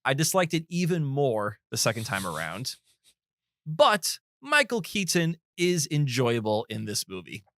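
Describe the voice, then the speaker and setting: A man's voice: medium pitch, sing-song, a little slow, fairly bright tone, no echo. Dry-miked man chatting about films. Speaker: film podcast co-host. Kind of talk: casual film discussion.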